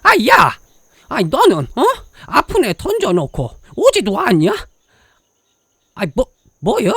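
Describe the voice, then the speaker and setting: A woman's voice: fairly high pitch, wildly swooping pitch, slow, slightly rough, no echo, clean studio sound, heavily acted. A woman playing a cranky, foul-mouthed, resentful old grandmother in an audio drama, grumbling in a with exasperated sighs. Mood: angry, exasperated. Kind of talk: Korean audio drama acting.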